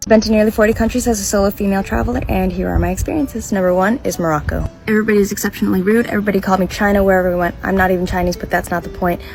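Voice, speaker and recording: A woman's voice: mid-range voice, fairly even intonation, rapid-fire, gravelly, hardly any pauses, darkish tone, faint music behind. A woman who is a solo travel creator, speaking frankly and with complaints in a list-style video.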